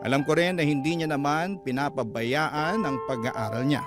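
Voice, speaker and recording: Male Filipino radio drama narrator, slightly high-pitched, scripted storytelling over a clear music bed. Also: moderate pace.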